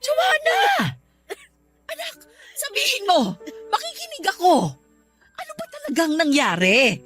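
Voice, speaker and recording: A woman's voice: very high-pitched, huge pitch swings, unhurried, a bit bright, faint music behind, heavily acted. A woman doing a nagging older grandmother. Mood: urgent. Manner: nagging, scolding. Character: scolding older grandmother, meddling and loud. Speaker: mother of the heroine. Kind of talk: radio drama acting.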